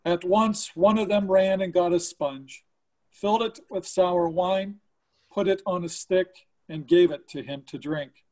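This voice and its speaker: A man's voice: high voice, a little slow, a little mellow. A man giving a dramatic reading of scripture as one of several readers.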